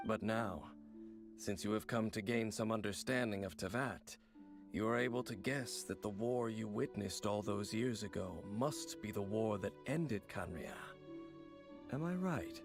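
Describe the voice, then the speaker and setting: Low, gravelly, secretive game character. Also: male voice, over music. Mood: pondering. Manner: measured, solemn, formal. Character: composed, secretive man, grave and knowing. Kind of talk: anime-style video game acting.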